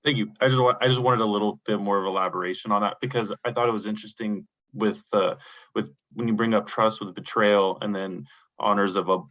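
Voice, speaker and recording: A man with a fairly low voice asks the speaker a long question in a seminar. He wanders and searches for words as he goes. The audio sounds dull and lo-fi. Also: steady tone, a little fast, a bit bright. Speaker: audience member asking a question.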